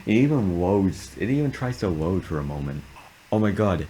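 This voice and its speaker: A man's voice: sits low, very animated, moderate pace, warm tone, slight background noise. A man giving casual gaming video commentary as a video creator. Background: background music.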